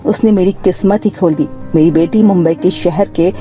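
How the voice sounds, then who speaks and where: A woman's voice: fairly low pitch, normal speed, warm tone, faint music behind, natural voice. A woman voicing a humble, grateful, hardworking mother in a cartoon story, earnest and modest.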